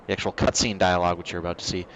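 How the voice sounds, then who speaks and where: A man's voice: sits low, little pitch movement, racing, a little hiss or hum, low-quality recording. A man giving casual, offhand gameplay commentary as the host of a livestream. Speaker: livestream host, game reviewer. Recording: game music behind the voice. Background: video game music.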